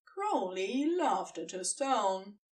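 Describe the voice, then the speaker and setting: A woman narrating fiction from a script in an expressive storytelling style. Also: mid-range voice, slow, distant mic.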